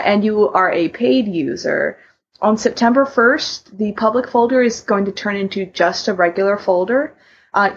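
A woman co-hosting a podcast, giving announcements and chatting casually in a husky voice. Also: mid-range voice, lo-fi sound. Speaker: podcast host.

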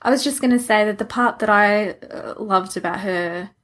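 A woman with a husky voice and a wry, joking manner, chatting casually as a podcast co-host. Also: mid-range voice, normal speed, fairly bright tone.

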